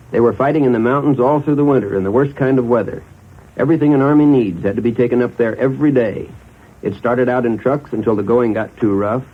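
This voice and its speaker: An American man, an army officer with a husky voice, giving a plain, orderly account in a 1940s radio interview. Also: medium pitch. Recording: muffled, narrow-band 1940s radio recording.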